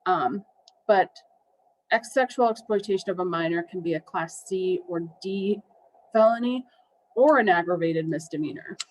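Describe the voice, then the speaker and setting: A woman's voice: on the low side, moderate pace, a little mellow, a little hiss or hum, low-quality recording. A woman with an American accent presenting a legal training, speaking with ums and an occasional wry remark. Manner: wry, with brief laughs and ums. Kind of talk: slide-based legal training.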